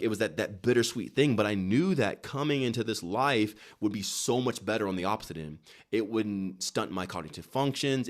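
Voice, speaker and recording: A man talking as an interview guest in an emphatic, motivational way, often repeating phrases and posing rhetorical questions. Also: on the low side. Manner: emphatic, repetitive, rhetorical questions and self-quotes. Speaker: interview guest, wellness guide creator. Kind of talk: animated motivational talk in conversation.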